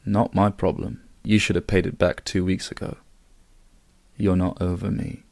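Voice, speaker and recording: A woman's voice: a bass voice, flat delivery, normal speed, creaky, a little mellow, no echo, pitched below the performer's own voice. A woman voicing a menacing young male gang leader with a silky, threatening manner.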